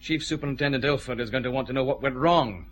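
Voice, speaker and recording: An actor playing an authoritative detective chief inspector in a radio drama, with a clipped, commanding delivery. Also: male voice, medium pitch, fast talker, faint music behind, lightly acted. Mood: stern. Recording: clear background noise, ambience.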